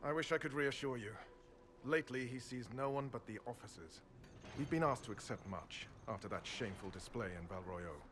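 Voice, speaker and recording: An actor playing a dutiful, troubled young knight in a fantasy game, speaking formally and with grave, measured delivery. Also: male voice, mid-range voice, noisy background, close to an everyday voice. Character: earnest, dutiful young knight templar, troubled but loyal. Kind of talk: dramatic fantasy game acting.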